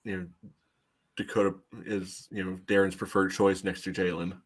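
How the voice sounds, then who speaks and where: Deep-ish guy cohosting a soccer podcast, dry and kinda sarcastic, casual chat. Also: little pitch movement, moderate pace. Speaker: sports podcast co-host.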